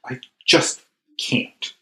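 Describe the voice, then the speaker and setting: A man's voice: extremely slow, sharp tone, heavily acted. A man playing a despondent, earnest school cleaner in improvised comedy, plaintive and repeating himself. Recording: roomy, echoing sound.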